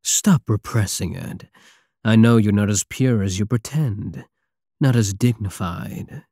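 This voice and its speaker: A man's voice: lowish voice, a little slow, warm tone, dry recording, clean studio sound. A man playing a swaggering, obsessive space pirate king in an intimate ASMR roleplay, flirtatious and menacing with a teasing, theatrical drawl. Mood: rallying, boastful. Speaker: audio roleplay voice actor.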